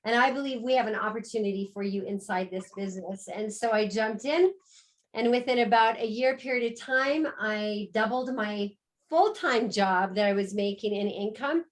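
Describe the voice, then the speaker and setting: A middle-aged American woman, the co-host, tells her own story on a video call in a warm, upbeat sales style. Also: medium pitch, normal speed. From United States. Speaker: co-host and business presenter. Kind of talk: business pitch on a video call.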